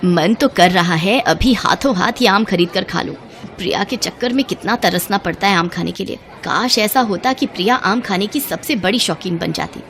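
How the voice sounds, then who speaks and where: A woman's voice: mid-range voice, moderate pace, a bit bright, slight background noise, close to an everyday voice. A woman plays a middle-aged mother-in-law in a cartoon moral tale. She sounds put-upon and long-suffering, grumbling and scheming in an ordinary mid-range voice. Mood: wistful.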